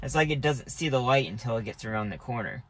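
A man giving casual, halting commentary, thinking aloud as he drives. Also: mid-range voice, animated, low-quality recording. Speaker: driver and video host. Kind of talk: casual running commentary while driving. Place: inside a moving car in the rain. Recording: loud car and road noise. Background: car driving noise.

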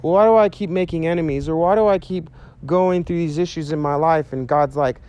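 A man in his thirties preaching outdoors to a camera, earnest and animated, talking casually with fillers. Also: a little high, a little fast, clean tone. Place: outdoors by a chain-link fence on a sunny day. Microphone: handheld mic, medium camera shot.

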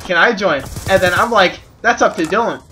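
A man improvising a comic story to friends over voice chat, halting and giggly, often repeating himself. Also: high voice, pushed and bright. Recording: noisy gaming voice chat with clicks.

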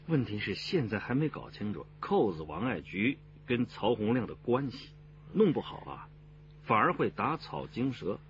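An actor playing a composed, decisive police detective chief in a radio crime drama. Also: male voice, lowish voice, big pitch swings, normal speed, dull audio, a little hiss or hum. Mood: deliberating.

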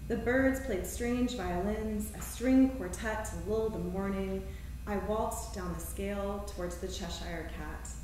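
A very reverberant theater recording of a woman poet at a live reading, picked up from a distance with faint noise. Her voice sits at a middle pitch for a woman. She presents her writing in measured, deliberate phrases. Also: a little mellow. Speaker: poet reading own work at a live event. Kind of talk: poetry and prose reading with short spoken introductions. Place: theater stage, indoors. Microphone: distant camera microphone.

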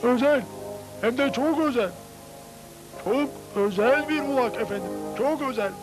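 Cartoon snail mail carrier: very high voice, halting, self-important, music underneath. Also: male voice, poor audio. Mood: officious. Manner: halting, officious. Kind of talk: dubbed cartoon acting. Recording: old cartoon dub over music.

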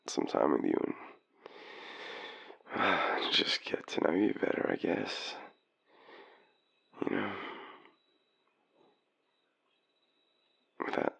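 A man's voice: very deep voice, vocal fry, a bit bright, heavily acted. A man with an Australian accent playing a drunk, shy, apologetic young man in an intimate boyfriend roleplay, stammering through a late-night call. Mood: apologetic, hopeful. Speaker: audio roleplay performer.

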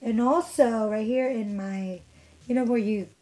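A young woman, a little hoarse from being under the weather, chatting bubbly through a makeup tutorial in her bedroom, filmed close by her camera. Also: a little high, a little slow, mellow, faint music behind. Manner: chatty, bubbly, lots of fillers. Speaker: beauty vlogger. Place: bedroom with couch, indoors. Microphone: camera in front at arm's length.

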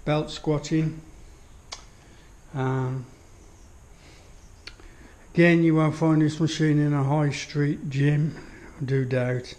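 A man giving a relaxed walkthrough of his home gym, admiring each piece of kit with many pauses and fillers, recorded on a handheld action camera in a small indoor room. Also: on the high side. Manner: unhurried, full of fillers, admiring. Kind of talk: casual walkthrough commentary. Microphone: action camera held while walking.

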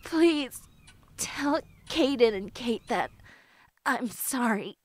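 Woman acting high-pitched, remorseful young woman. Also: lively intonation, darkish tone, heavily acted. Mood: remorseful, pleading. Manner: pleading, drawn-out, emotional. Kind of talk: emotional audio drama acting.

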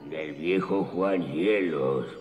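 An ancient little cartoon grandfather with a low voice. He is wise yet doddering and forgetful, heard in long reverb. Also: extremely slow, a little mellow, heavily acted. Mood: kindly, doddering. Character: tiny, very old, forgetful grandfather gnome-like creature. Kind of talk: cartoon dub acting. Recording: long reverb, faint music behind.

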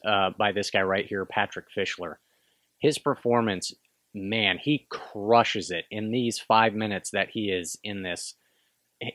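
Man in his thirties breaking down a film scene, deepish dry voice, loose rambling delivery, close mic. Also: fairly bright tone, dry recording. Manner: rambling, hesitant, full of restarts and "kinda". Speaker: film review host. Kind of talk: casual film analysis. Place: small room with bookshelves. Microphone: studio microphone close in front.

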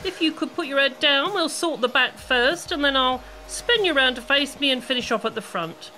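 A woman's voice: high-pitched, expressive, moderate pace, sharp tone, slight background noise, rough recording. A woman playing a nosy, vengeful hairdresser in a comic audio play, cooing endearments with mock innocence. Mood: mock sympathy. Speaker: actor in an amateur audio play. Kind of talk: comedic radio-play acting.

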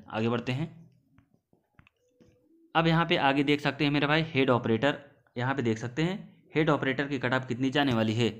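A man giving an informal, repetitive explainer as an exam-preparation presenter, addressing listeners as brothers. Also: medium pitch. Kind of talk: informal explainer over on-screen notes.

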